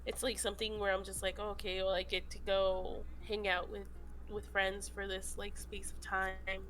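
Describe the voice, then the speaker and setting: A woman's voice: mid-range voice, level tone, moderate pace, a bit bright. A young woman co-hosting a podcast, her voice stuffy and congested from the flu, talking in an easygoing, agreeable way. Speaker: podcast co-host. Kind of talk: casual chat.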